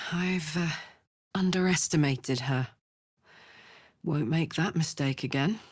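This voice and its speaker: A woman playing a brash, big-hearted tiefling barbarian in a video game, blunt, earthy and expressive. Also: low-pitched, takes it slowly, slightly rough, no echo, clean studio sound, exaggerated voice. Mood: sheepish, awed. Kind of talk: video game character voice lines.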